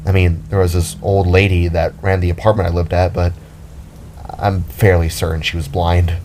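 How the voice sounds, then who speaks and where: A man's voice: low voice, level tone, moderate pace, a little mellow, a little hiss or hum, pitched below the performer's own voice. A man voicing a shy, awkward teenage boy in an audio drama, hesitant, stammering and easily flustered. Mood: awkward, nervous.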